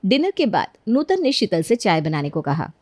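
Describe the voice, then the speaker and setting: A woman's voice: medium pitch, very animated, moderate pace, a little mellow, no echo, studio quality. A woman narrating a scripted cartoon bedtime story as the storyteller.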